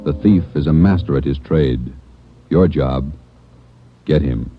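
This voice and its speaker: Solemn, extremely deep American radio announcer. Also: male voice. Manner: solemn, measured. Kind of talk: 1940s radio scripted narration. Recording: dull 1940s radio recording. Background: dramatic music.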